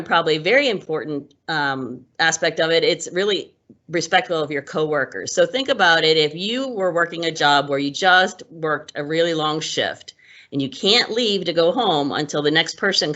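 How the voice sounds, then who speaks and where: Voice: mid-range voice, pushed and bright. A presenter explaining workplace manners in a casual, conversational way, with frequent ums and restarts. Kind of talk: casual instructional talk. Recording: muffled lo-fi recording.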